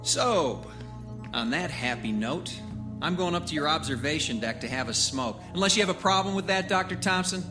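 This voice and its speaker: A man's voice: a little high, animated, moderate pace, lightly acted. An actor in an audio drama playing a wry, cynical man who scolds and needles. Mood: sardonic. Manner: sardonic, needling. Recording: music under the voice.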